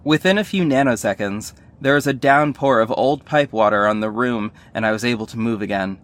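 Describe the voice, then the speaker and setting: Man as a wry superhero narrator, mid-pitched. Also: fairly quick, a bit bright, lightly acted. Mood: tense recounting. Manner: wry, earnest first-person storytelling. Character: sardonic young male superhero narrating his own fight. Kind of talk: scripted audio drama narration.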